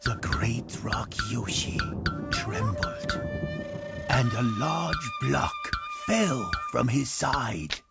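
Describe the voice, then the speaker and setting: A storyteller giving a scripted folktale narration with dramatic, deliberate phrasing. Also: mid-range voice, very animated, vocal fry. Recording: music behind the narration. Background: prominent music.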